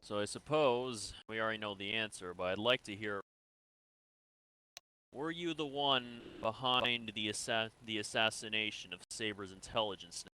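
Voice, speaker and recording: A man playing a measured military interrogator in a sci-fi game role-play, asking probing, deliberate questions. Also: on the low side, pushed and bright, clean tone, low-quality recording.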